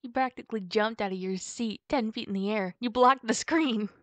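A woman's voice: a little high, a little slow, fairly bright tone, no room sound. A woman playing a nervous, twitchy wolf girlfriend in an ASMR roleplay, stammering and hesitant as she hides something. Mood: playful, defensive.

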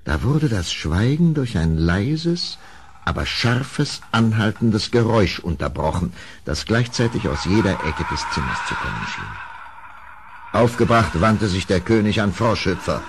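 An actor narrating a horror tale as a grave storyteller in a vintage German radio play. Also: male voice, lowish voice, very animated, a little slow, a little hiss or hum. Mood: suspenseful.

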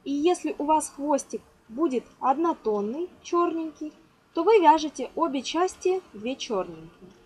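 A woman's voice: high voice, expressive, measured pace, darkish tone, poor audio. A woman giving an informal step-by-step craft lesson, instructing patiently with affectionate diminutive words. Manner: instructional, counts aloud, uses affectionate diminutives. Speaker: craft tutorial host. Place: indoors at a table. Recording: clear background noise, amateur home recording.

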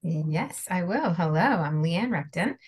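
A woman with a low, soothing voice introduces herself on a video call panel. She talks calmly and steadily. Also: measured pace, breathy edge. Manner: calm, soothing. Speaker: panelist, college staff trainer. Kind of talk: casual panel discussion. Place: home room, video call. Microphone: webcam or computer mic on video call.

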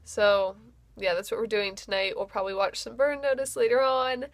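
A woman's voice: fairly high pitch, fairly even intonation, normal speed, no echo. A young woman vlogging casually to the camera from her bedroom at home, chatty and upbeat, with frequent fillers. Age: young adult. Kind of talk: casual vlog chat to camera. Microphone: handheld camera or webcam at arm's length.

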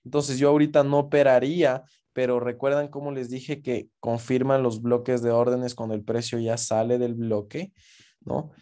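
A man talks viewers through trading charts in a relaxed, explanatory lesson. His voice sits in a middle pitch with a mellow, warm tone, and he keeps checking in with his audience as he goes. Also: normal speed. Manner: casual, explanatory, frequent "¿ok?" check-ins. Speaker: forex trading instructor. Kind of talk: screen-share trading lesson.

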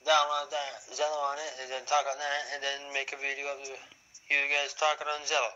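A man chatting over a push-to-talk app, halting often with fillers. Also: on the high side, monotone, bright, forceful tone. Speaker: radio chat participant. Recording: muffled radio audio with faint hiss.

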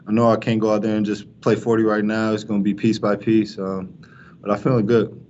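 Young man talking flat and halting. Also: on the low side. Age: young adult. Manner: flat, halting, many fillers and restarts. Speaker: college basketball player. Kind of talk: postgame press conference answers. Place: press room in front of a sponsor backdrop. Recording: dull lo-fi stream with faint noise.

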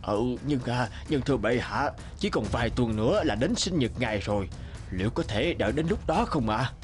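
A man's voice: mid-range voice, lively intonation, normal speed, soft background music, close to an everyday voice. An actor playing a timid old servant to a king in a cartoon, hesitant and deferential. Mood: nervous. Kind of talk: animated fairy tale dialogue.

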